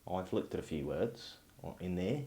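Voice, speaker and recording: A man's voice: low voice, lively intonation, normal speed, dark tone. An actor playing a sardonic male amateur investigator who talks casually and swears. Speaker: investigator lead. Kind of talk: mockumentary film acting.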